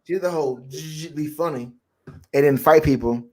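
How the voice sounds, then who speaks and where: A man's voice: fairly high pitch, moderate pace, darkish tone. A man co-hosting a fan livestream in casual banter, emphatic and teasing, repeating words for effect. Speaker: co-host of a fan debate livestream.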